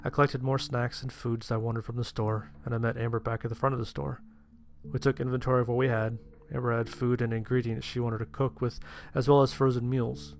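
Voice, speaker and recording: A man's voice: medium pitch, level tone, fairly quick, creaky, slight background noise, close to an everyday voice. A man playing a sheltered, anxious young survivor, narrating his story in the first person in a plain-spoken way. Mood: narrating, sheepish. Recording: music behind the voice.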